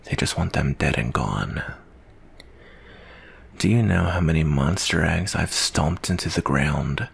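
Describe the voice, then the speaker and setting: A man playing a jaded, guilt-ridden monster-hunting soldier in an ASMR roleplay monologue, speaking in a slow, brooding, weary way with a gravelly, husky voice. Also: extremely low pitch, lively intonation, heavily acted. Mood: grim, bitter.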